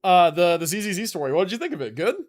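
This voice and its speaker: A man's voice: sits high, animated, rapid-fire. A man hosting a game livestream with casual, slangy, enthusiastic commentary and the odd swear. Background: video game music and character voices.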